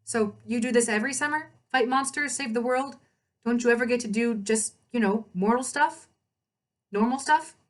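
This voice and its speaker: A woman's voice: a little high, normal speed, voice pushed up, close to an everyday voice. A woman reading the part of an earnest, self-assured teenage girl guide in a storybook, plain-spoken and sincere. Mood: curious.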